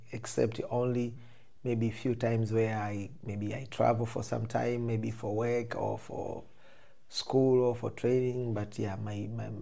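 A man with a Tanzanian accent speaks as an interview guest. His voice is relaxed and dark, with a noticeable creak at the edges. He talks conversationally and reflectively, rephrasing as he explains. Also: fairly low pitch. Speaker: interview guest, photographer and filmmaker.

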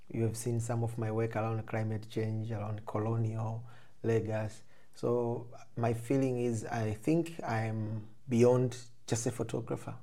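A man's voice: on the low side, creaky, mellow. A man with a Tanzanian accent answering interview questions conversationally, reflective and often rephrasing as he explains. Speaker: interview guest, photographer and filmmaker.

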